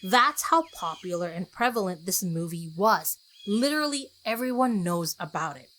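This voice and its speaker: A woman's voice: mid-range voice, expressive, normal speed, a bit bright, low-quality recording. Chatty woman hosting a solo podcast. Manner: chatty, self-deprecating, conversational asides. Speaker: podcast host. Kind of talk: casual scripted solo podcast narration.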